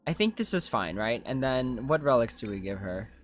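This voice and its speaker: Casual game streamer with lively, swinging pitch. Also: moderate pace, a little hiss or hum. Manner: casual, slangy, thinking out loud. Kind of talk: casual gameplay commentary, reading chat.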